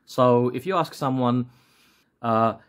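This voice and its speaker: A man's voice: medium pitch, slow pace, clear, resonant tone. A man in his late forties hosting a casual vlog, joking and rambling as he often restarts his sentences. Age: 40s. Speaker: action figure seller and channel host.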